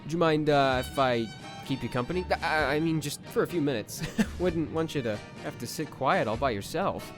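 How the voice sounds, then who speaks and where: A man's voice: fairly high pitch, normal speed, few pauses, over music, close to an everyday voice. A man playing a nervous, lovestruck young space cadet in an anime parody, stammering and awkward. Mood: awkward, shy.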